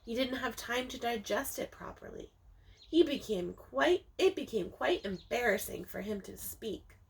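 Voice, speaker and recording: A woman teacher reading a picture book aloud to young children, expressively, with dramatic emphasis and drawn-out words, speaking to them directly. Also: fairly high pitch, animated, vocal fry, a little hiss or hum. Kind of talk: animated children's storybook read-aloud.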